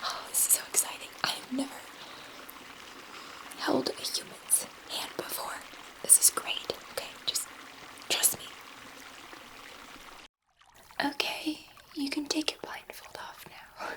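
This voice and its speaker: A woman playing a gentle, awed alien scientist in a whispered ASMR sci-fi roleplay, speaking tenderly and reassuringly with halting pauses in a soft, breathy voice. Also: sits high, level tone, poor audio. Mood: excited, reassuring.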